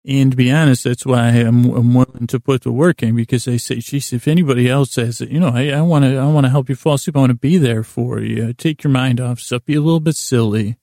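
A man with a heavily creaky, gravelly voice and a mellow, soft-edged tone hosts a bedtime podcast. He meanders through a self-deprecating monologue, pausing and circling back in a lulling way. The recording is close and dry. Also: medium pitch, fairly even intonation, dry recording. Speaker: podcast host.

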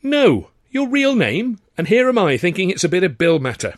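Man putting on a high, chattering cheeky chorus girl. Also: wildly swooping pitch, moderate pace, no room sound, clean studio sound. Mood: teasing. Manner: chatty, theatrical, teasing exclamations.

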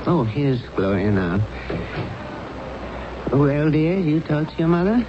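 An actor playing a worried middle-aged man in a 1970s radio drama, speaking in a measured, hesitant way. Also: on the high side, big pitch swings. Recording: noisy, muffled 1970s radio broadcast.

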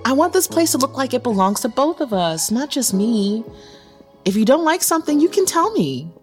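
An actress playing a caring, candid young girlfriend in an audio drama, casual and expressive. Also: high-pitched, normal speed. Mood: playful exasperation. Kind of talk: naturalistic audio drama acting. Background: music.

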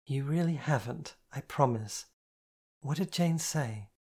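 A woman voicing a soft, soothing, caring woman. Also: a bass voice, expressive, measured pace, breathy edge, heavily acted. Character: calm, caring woman soothing her partner.

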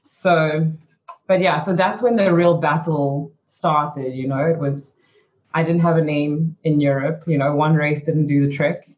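A woman's voice: deep voice, fairly even intonation, measured pace, darkish tone, highs cut off. Chatty young woman recounting her story. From South Africa. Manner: chatty and frank, many "you know" and "like" fillers. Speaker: racing driver, interview guest. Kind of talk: candid storytelling in an interview.

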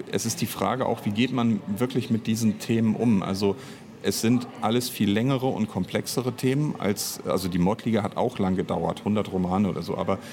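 A man's voice: on the low side, a little mellow, slight background noise. A middle-aged man in a casual interview, thinking aloud and often breaking off to rephrase. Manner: thinking aloud, hesitant, self-interrupting, reflective. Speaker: audio drama writer and director being interviewed.

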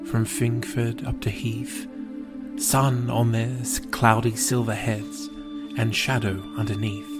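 A man reading poetry and scripted narration in a solemn, deliberate manner. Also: medium pitch. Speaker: poetry podcast reader. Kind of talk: slow, measured poetry recitation and scripted narration. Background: prominent calm new-age music.

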